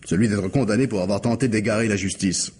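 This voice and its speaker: Low-voiced, husky scheming husband. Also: male voice, fast talker, a little mellow. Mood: persuasive. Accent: French from France. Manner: insistent, reasoning, coaxing. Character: scheming, persuasive husband desperate to save his home. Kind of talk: radio drama acting.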